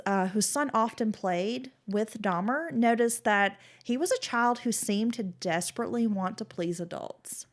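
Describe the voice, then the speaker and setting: A woman co-hosting a casual true-crime podcast, her voice nasal and stuffy from a cold as she reads case details and chats. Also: mid-range voice. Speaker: podcast co-host.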